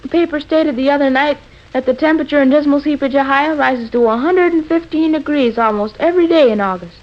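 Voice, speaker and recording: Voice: sits high, quick pace, natural voice. A performer with an American accent playing an earnest, matter-of-fact boy in a 1940s radio comedy. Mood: earnest objecting. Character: earnest, literal-minded American boy, needling his father. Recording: muffled old radio recording.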